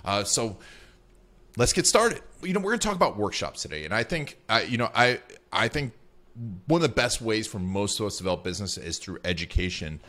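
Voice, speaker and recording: A man hosts an interview and asks his questions in a chatty, lively way. His voice sits low, and he speeds up in quick bursts. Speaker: interview host. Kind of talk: conversational interview.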